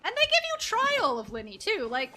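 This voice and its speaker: A woman's voice: sits high, huge pitch swings, fairly quick, sharp tone, a little hiss or hum. A woman doing casual live game commentary as a streamer, playful and rambling. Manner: playful, rambling, makes silly sound effects. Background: game music.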